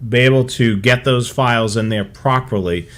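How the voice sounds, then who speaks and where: A man's voice: medium pitch, normal speed, a bit bright. A man co-hosting a hobby show, chatting casually and talking listeners through examples and advice.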